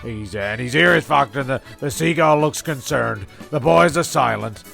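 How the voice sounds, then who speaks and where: A male narrator of a comedy radio play, with a medium-pitched voice thick with vocal fry. He rambles hesitantly and repeats himself over faint music. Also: lively intonation, moderate pace, fairly bright tone.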